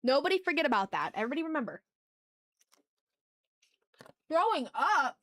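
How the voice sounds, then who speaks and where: A woman's voice: high voice, sing-song, normal speed, fairly bright tone, no room sound. A woman hosting an art livestream, chatting casually and slangily with her viewers into a sensitive microphone. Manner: slangy, informal. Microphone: sensitive ASMR microphone.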